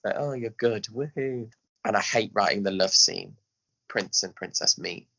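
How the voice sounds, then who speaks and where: Bright, forceful Englishman in casual interview. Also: lowish voice, moderate pace, dull audio. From England. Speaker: podcast guest, pantomime director.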